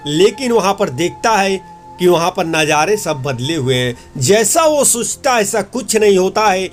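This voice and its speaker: A man narrating a scripted moral story for a cartoon, as a storyteller. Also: high voice.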